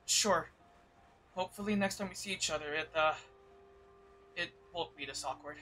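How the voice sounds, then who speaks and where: A man streaming a game, reacting casually and musing aloud in a hesitant, halting way. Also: fairly high pitch, pushed and bright, a little hiss or hum.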